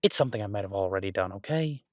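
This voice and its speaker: A close, dry recording of a man acting as a restaurant chef and devoted boyfriend in an audio roleplay. His voice sits on the low side, and he talks casually and haltingly, stammering into restarts, exasperated yet affectionate. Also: animated, darkish tone, no room sound, lightly acted. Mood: sheepish, animated. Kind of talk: intimate boyfriend roleplay, one-sided casual dialogue.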